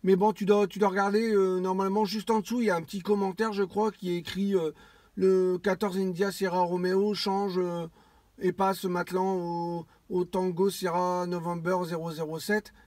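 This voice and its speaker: A high-pitched man talks casually in a radio contact. He circles back over phrases and restarts often. The sound is dry and close, with no room around it. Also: flat delivery, normal speed, darkish tone, no echo. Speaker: amateur CB radio operator.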